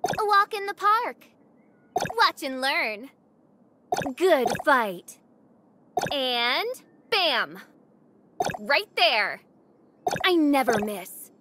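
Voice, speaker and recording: A woman's voice: high voice, sing-song, very slow, pauses often, background noise, heavily acted. An actress voicing a playful, confident young female gunfighter in a video game, with smug, taunting delivery. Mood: cocky, teasing. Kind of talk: video game battle lines.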